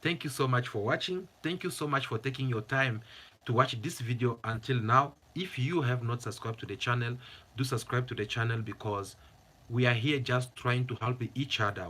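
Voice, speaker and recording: A man's voice: medium pitch, fairly bright tone. A man hosting his own commentary channel, speaking English as a second language in a passionate, emphatic way and repeating phrases for stress. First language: not English. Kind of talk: opinionated vlog commentary.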